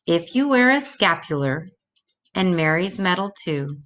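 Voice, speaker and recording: Older lady reading a kids story in rhyme, real slow and careful, voice a bit on the low side. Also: very animated, a bit bright. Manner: measured, deliberate read-aloud delivery. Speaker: storyteller reading a children's religious picture book aloud. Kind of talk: scripted children's story reading in rhymed verse.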